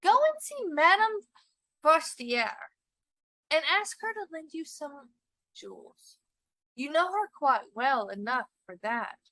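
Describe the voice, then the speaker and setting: Woman reading as an insistent, high-pitched husband. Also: big pitch swings, takes it slowly, pushed and bright, no echo, exaggerated voice, rough recording. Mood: exasperated. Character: exasperated, well-meaning husband, a clerk.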